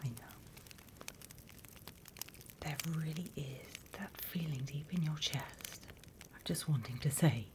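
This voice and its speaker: Slow whispering British woman, low voice. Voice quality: breathy, mostly whispered. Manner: slow, deliberate, dry instructing. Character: stern, dry demon instructor training operatives for Earth. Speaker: ASMR roleplay performer. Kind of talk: whispered ASMR roleplay as a class instructor. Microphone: close ASMR microphone. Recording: close whisper with background hiss.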